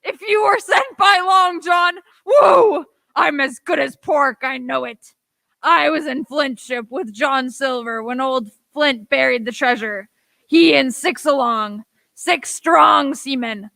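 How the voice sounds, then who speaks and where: Woman playing a shrill, creaky half-mad castaway sailor. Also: expressive, slow, pushed and bright, no echo, full character voice. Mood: fearful, agitated. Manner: rustic pirate speech, exclaiming, repeating himself. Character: half-mad marooned old sailor man, excitable, cheese-craving. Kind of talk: radio play acting.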